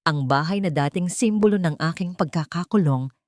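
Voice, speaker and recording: A woman reading a scripted first-person dramatic story in a measured, expressive way. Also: lowish voice, no room sound, studio quality. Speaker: audio story narrator.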